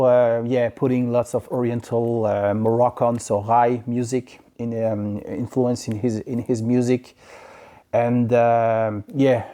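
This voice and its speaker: French guy talking about his vinyl haul, warm low-key voice, lots of uhs and restarts. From France. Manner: halting, many fillers and restarts. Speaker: vinyl collector vlogger. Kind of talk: casual record haul review. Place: home in Quebec.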